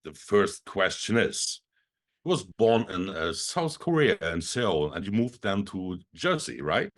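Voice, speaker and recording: A man hosting an interview, asking questions in halting phrasing that is slightly off. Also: low-pitched, sing-song. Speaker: interviewer, host.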